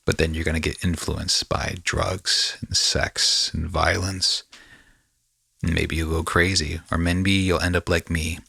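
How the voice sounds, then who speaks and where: A male podcast host with a low, bright voice talks casually and reflectively. He moves in halting phrases, searching for the right word, and the sound is close and dry. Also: creaky, dry recording.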